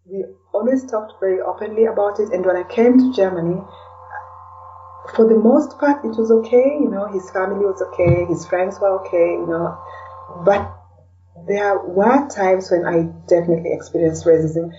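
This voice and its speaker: Kenyan woman chatting in an interview, soft dark tone, thoughtful and halting, dull recording a bit far from the mic. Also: medium pitch, a little hiss or hum. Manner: reflective, hesitant, often adding "you know". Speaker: podcast guest, blogger and podcaster. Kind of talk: conversational interview answers. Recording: distant mic, dull lo-fi audio.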